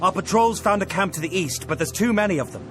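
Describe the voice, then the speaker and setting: A man's voice: high voice, lively intonation, fast talker. A man playing a stubborn, dutiful military commander in a fantasy video game, plain-spoken and firm. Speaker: army commander. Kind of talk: dramatic video game voice acting. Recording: music behind the voice.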